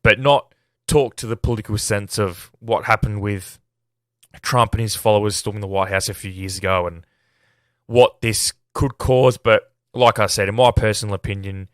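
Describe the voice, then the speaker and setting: A man's voice: on the low side, steady tone, normal speed, no room sound, clean studio sound. An Australian man hosting a casual film review podcast while sick, talking in a rambling, self-deprecating, hesitant way. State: sick, voice at risk of giving out.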